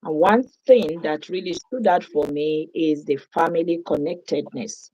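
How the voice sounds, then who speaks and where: A Yoruba-speaking woman with a Nigerian accent answers interview questions in a calm, reflective way. Her voice sits low and she speaks slowly. The audio comes through slightly dull. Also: no room sound. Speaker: guest.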